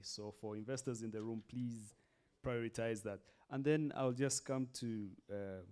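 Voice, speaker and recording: A man's voice: fairly low pitch, darkish tone. A man moderating a workshop panel, thanking the speakers and putting questions to them with a few fillers.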